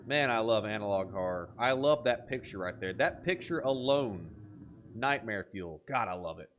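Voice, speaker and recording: A man's voice: fairly low pitch, normal speed, lo-fi sound, a little hiss or hum. A man hosting a horror reaction video, talking casually and enthusiastically, joking around and reading captions aloud. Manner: animated, jokey, enthusiastic.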